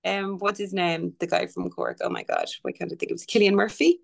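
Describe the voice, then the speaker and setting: A woman's voice: fairly low pitch, huge pitch swings, brisk, creaky. A woman with a Dublin Irish accent, chatting casually as a guest author and telling stories with easy laughter. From Ireland. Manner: chatty, laughs easily, storytelling. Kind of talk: casual podcast chat.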